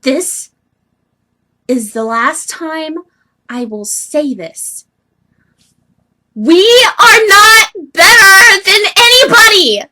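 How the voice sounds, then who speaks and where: A woman voicing an earnest, bubbly young female troll heiress from the sea, with expressive scolding, in an amateur audition style. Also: extremely high pitch, drawn-out words, pauses often, slight background noise, exaggerated voice, rough recording. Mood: earnest, reproachful. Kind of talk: amateur animated-webcomic voice audition acting.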